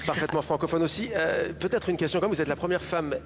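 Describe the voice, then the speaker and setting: A man presenting a TV talk show and interviewing guests in a brisk, upbeat manner. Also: on the high side. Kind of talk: studio interview.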